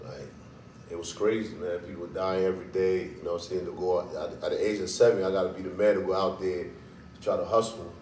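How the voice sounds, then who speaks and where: Man from liberia, 30s, guest, deep voice, laughs a lot, echoey room, far from mic. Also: little pitch movement, fast talker, a little mellow. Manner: laughs often, lots of "you know what I'm saying". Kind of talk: casual interview storytelling. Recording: roomy, distant mic, faint noise.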